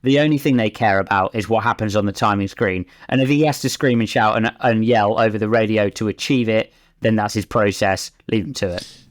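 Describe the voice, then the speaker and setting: A man's voice: on the low side, fairly quick. A man talking as a racing driver and pundit, giving conversational, discursive analysis in an emphatic way.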